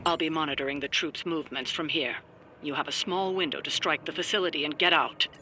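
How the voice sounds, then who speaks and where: Commanding male mentor, slightly high voice, formal, measured, cautioning, game dialogue, noisy ambience. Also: steady tone, bright, forceful tone. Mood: stern, cautioning. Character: stern, authoritative male mentor sorcerer, cautioning his young team. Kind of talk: video game voice acting.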